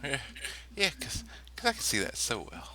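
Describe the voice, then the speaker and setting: A man's voice: mid-range voice, wildly swooping pitch, normal speed, background noise. A man playing a tabletop game, joking around casually. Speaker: player.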